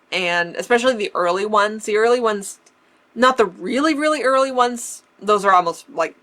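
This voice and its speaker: A Canadian woman with a slightly lower-pitched voice talks casually, answering viewer questions one by one. Her accent is mild, and traces of an old lisp slip into some words. She laughs easily and often restarts her phrases. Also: a bit bright. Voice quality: faint lisp remnant. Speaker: vintage-style vlogger. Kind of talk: casual Q&A chat.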